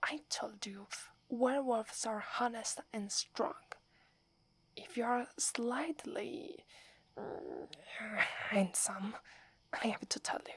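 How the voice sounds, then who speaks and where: Breathy whispering werewolf girl, a woman with an Italian accent. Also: fairly high pitch, slow pace, long pauses, no room sound, heavily acted. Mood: shy, flustered. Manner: soft whispering, stammers when flustered. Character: proud young werewolf woman, gruff but secretly fond. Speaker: ASMR roleplay performer. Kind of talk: whispered ASMR roleplay.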